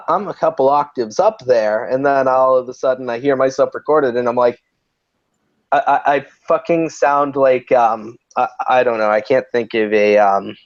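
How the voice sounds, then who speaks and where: A man's voice: medium pitch, no echo, highs cut off. A man hosting a casual livestream chat, rambling with many restarts and fillers, in an androgynous voice. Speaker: host.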